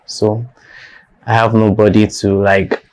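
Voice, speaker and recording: A man's voice: lowish voice, flat delivery, slow pace, a little mellow, low-quality recording. A young man with a Nigerian accent, a guest in an interview, talking bluntly and defiantly and repeating his points for emphasis. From northern Nigeria. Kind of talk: candid personal interview.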